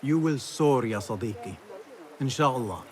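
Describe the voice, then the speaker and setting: A man's voice: medium pitch, animated, a little slow, a little hiss or hum, close to an everyday voice. A male actor playing an impulsive, earnest young thief in a dramatic video game scene. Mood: calm, wry. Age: young adult.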